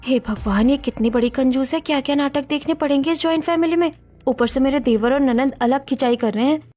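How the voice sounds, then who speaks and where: A woman's voice: high-pitched, brisk, warm tone, faint music behind, close to an everyday voice. A woman playing an overworked, exasperated but polite young Indian daughter-in-law in a Hindi story drama. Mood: exasperated, complaining. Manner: complaining, polite to elders. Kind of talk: Hindi audio story dialogue acting.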